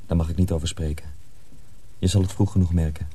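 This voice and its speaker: A man's voice: deep voice, steady tone, quick pace, dark tone, breathy edge. An actor playing a grave, secretive and protective older mill journeyman in a radio drama. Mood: guarded, afraid.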